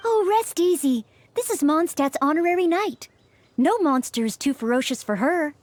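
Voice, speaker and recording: An actress playing an earnest young maid in a game, polite, caring and dutiful in manner. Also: female voice, high voice, expressive, normal speed, no echo. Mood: reassuring. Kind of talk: animated game voice acting. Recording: music behind the voice. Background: game music.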